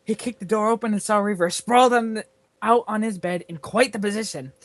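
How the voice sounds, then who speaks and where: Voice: medium pitch, brisk, slight background noise. A person reading their own comic fan fiction aloud in a theatrical way, as a storyteller among friends. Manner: theatrical, often breaking into laughter.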